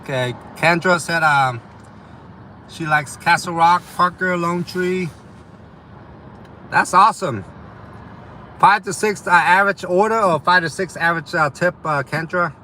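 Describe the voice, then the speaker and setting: A young man with a slight Vietnamese accent talks in a loose, rambling way to live viewers, with plenty of ums and uhs. His voice is bright and forward. Faint city and traffic sounds hang in the background. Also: high-pitched, a little slow, pauses often. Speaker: delivery driver streaming to viewers. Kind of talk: casual live chat reading viewer comments.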